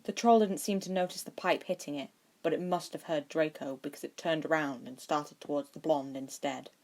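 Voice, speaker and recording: A woman's voice: low-pitched, fairly even intonation, fairly quick, a little mellow. A woman narrating a scripted story as an amateur audiobook reader.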